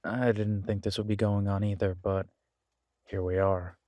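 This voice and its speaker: A man's voice: on the low side, little pitch movement, moderate pace, a little mellow, no echo, natural voice. A man playing an easygoing, affectionate werewolf biker in an intimate audio drama, talking casually and hesitantly to a partner. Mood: reassuring, casual. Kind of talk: intimate roleplay audio drama, one-sided conversation.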